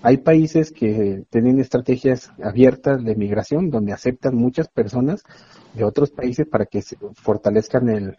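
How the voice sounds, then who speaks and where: Male academic guest, husky and warm-toned, earnest explainer, dull dry lo-fi recording. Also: medium pitch, unhurried. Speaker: university expert guest. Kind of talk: explanatory interview talk.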